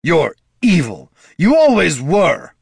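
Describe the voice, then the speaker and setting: A man's voice: mid-range voice, wildly swooping pitch, fairly bright tone, breathy edge, no room sound, clean studio sound, exaggerated voice. Man playing a stammering, indignant wizard son. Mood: furious, stammering. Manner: halting, accusatory.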